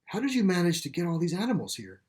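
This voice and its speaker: A man reading a story plays an earnest, grieving boy who loves his dog, speaking haltingly and with emotion. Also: high voice, wildly swooping pitch, darkish tone, voice pushed up. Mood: curious. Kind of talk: storybook character dialogue.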